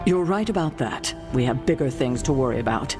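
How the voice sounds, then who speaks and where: An actor playing an earnest superhero teammate in a video game, conciliatory with a dry humour. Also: male voice, mid-range voice, expressive, fairly quick, over music, close to an everyday voice. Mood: earnest, wry. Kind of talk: video game dialogue acting.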